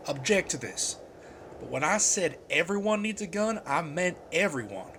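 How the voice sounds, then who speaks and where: A man acts out an earnest father in charge of a survivor camp in a comic dub. His voice sits quite high, and he talks firmly and reassuringly. There is clear background noise behind him. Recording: noticeable background ambience noise.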